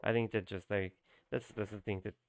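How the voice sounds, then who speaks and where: Gravelly Hungarian man streaming, dry mic. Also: on the low side, steady tone, fairly quick, no room sound. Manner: rambling, many fillers and restarts. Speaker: game coach streamer. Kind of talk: casual live-stream commentary.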